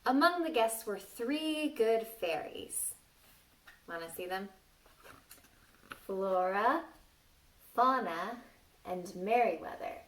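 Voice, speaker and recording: A woman's voice: mid-range voice, expressive, takes it slowly, pauses often, fairly bright tone. A woman reading a story aloud to young children, playfully stopping to ask them questions and exclaim. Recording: distant microphone.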